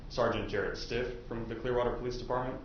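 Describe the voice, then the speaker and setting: A man's voice: mid-range voice, fairly even intonation, fast talker. A man speaking as a prosecuting attorney in a formal courtroom address. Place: indoor courtroom. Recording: very echoey, dull, noisy courtroom audio.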